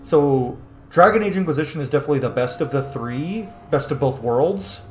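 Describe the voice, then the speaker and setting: A man's voice: on the high side, lively intonation, normal speed. A man who streams games, giving casual, opinionated and sweary gameplay commentary. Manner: opinionated, profane. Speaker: game streamer. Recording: roomy sound with faint game music.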